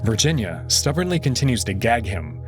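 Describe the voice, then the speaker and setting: A man's voice: medium pitch, big pitch swings, fairly quick, music in the background. A man narrating a visual novel in the first person, reading it expressively and dramatically.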